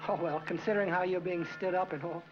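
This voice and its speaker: A man's voice: sits high, fairly even intonation, fast talker, close to an everyday voice. A man plays a young soda fountain clerk in 1940s comedy style. He is sympathetic. The soundtrack is dull with music underneath. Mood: concerned. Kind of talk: 1940s film comedy acting.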